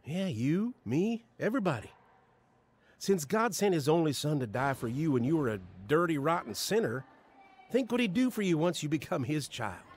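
An actor in an audio drama playing a steady, devout friend and mentor with a measured, exhorting way of talking. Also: male voice, a little high, a little hiss or hum. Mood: earnest counsel.

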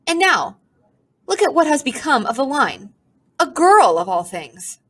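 A woman's voice: sits high, sing-song, unhurried, fairly bright tone, pitched above the performer's own voice, full character voice. A woman voicing a melodramatic, self-pitying talking pigeon with a pompous, theatrical manner. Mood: scornful. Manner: theatrical, sighing, haughty complaints.

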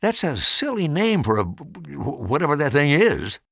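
A man's voice: fairly high pitch, wildly swooping pitch, measured pace, vocal fry, fairly bright tone, husky, no room sound, clean studio sound, voice pushed up, exaggerated voice. Man voicing a stubborn, impatient young girl. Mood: curious. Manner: sulky, blunt.

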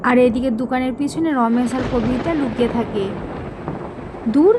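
A woman's voice: a little high, fairly even intonation, a little fast, noisy background. A woman narrating a scripted cartoon tale as its storyteller.

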